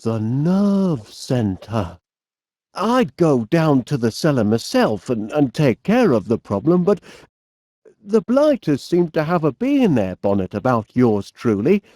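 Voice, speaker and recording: A man's voice: medium pitch, no echo, studio quality. A man voicing a cheerful, fussy, bumbling middle-aged inventor with a Northern English accent and a chummy, dithering manner, for a comedy video game. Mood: earnest, sheepish. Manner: chummy, dithering, expressive, drawn-out delivery. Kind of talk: animated comedy game voice acting.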